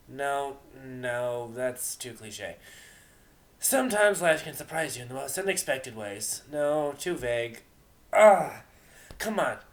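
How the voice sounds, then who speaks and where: A man's voice: medium pitch, expressive, slow pace. An ancient moon goddess mare played by a man tells her tale straight to the listener. She is grand and theatrical, yet easily flustered and chatty, correcting herself as she goes in storybook fashion. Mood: exasperated. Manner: theatrical, self-correcting, addresses the listener directly. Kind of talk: dramatic storytelling reading.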